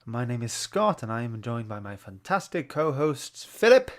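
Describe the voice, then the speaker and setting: A man's voice: mid-range voice, very animated, moderate pace. A man hosting a book club livestream, chatting informally and greeting viewers. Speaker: host.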